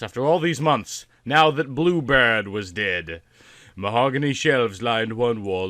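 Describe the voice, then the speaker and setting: A man's voice: medium pitch, bright, forceful tone. A man narrating a story in a theatrical, comic reading style, with dramatic, emphatic phrasing.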